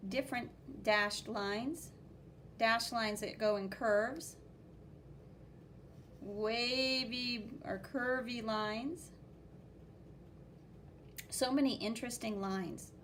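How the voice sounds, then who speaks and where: A woman reading a picture book aloud to children as a teacher, expressive and exclaiming, with spoken sound effects and commentary. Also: fairly high pitch, drawn-out words, long pauses, slight background noise.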